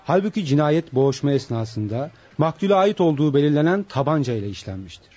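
An actor playing an impassioned defense lawyer, pleading formally in a radio courtroom drama. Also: male voice, on the high side, very animated, fast, mellow, slightly rough. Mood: earnest pleading. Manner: formal, oratorical. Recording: dull lo-fi radio drama recording.

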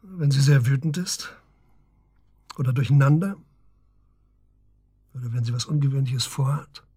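An actor playing a wry, inquisitive middle-aged police officer questioning an old friend in a naturalistic radio drama. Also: male voice, medium pitch, normal speed, dark tone, breathy edge, close to an everyday voice. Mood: probing. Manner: inquisitive, prodding, familiar.

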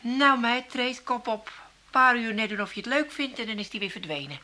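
A woman playing a sardonic, quick-witted daughter-in-law in a comedy radio play, delivering deadpan jibes. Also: on the high side. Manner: sarcastic, deadpan. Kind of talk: 1980s Dutch comedy radio play acting.

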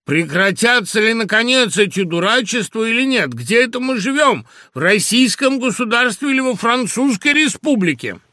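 Man playing a stern father, loud and raised. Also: extremely high pitch, lively intonation, normal speed, hardly any pauses, no echo, studio quality, pitched above the performer's own voice, exaggerated voice. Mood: outraged scolding. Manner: thundering, scolding. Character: stern, menacing, indignant father, authoritarian.

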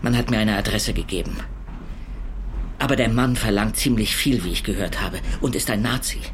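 An actor playing an anxious, pleading carpenter on the run from the Nazis, speaking earnestly and politely in a radio drama. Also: male voice, on the low side, normal speed, slight background noise, lightly acted. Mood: confiding, urgent. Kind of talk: German radio drama dialogue.

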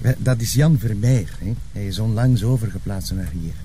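An actor playing a courteous, gentle and hesitant Flemish police colleague in naturalistic television drama. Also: male voice, lowish voice, moderate pace, slight background noise, natural voice. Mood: amused, evasive.

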